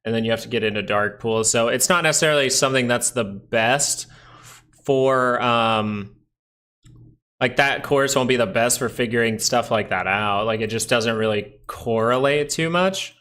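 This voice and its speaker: A man's voice: mid-range voice, normal speed, a bit bright. A man with a nasal voice hosting a casual live stock market stream, chatting in a rambling way with many fillers. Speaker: stock market livestream host.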